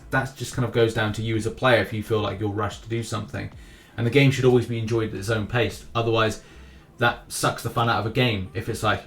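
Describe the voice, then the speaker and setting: A man hosting a gaming news video with casual commentary, rambling a little and repeating phrases for emphasis. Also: mid-range voice. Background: background music.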